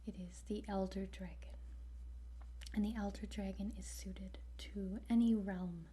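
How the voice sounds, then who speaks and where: A woman whispering softly in a halting ASMR roleplay as a gentle, patient guide, close to the microphone. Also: mid-range voice, steady tone, poor audio. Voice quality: soft breathy whisper. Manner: slow, halting, with pauses. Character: gentle, patient dragon training centre guide. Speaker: ASMR roleplayer playing a dragon trainer. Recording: faint background noise.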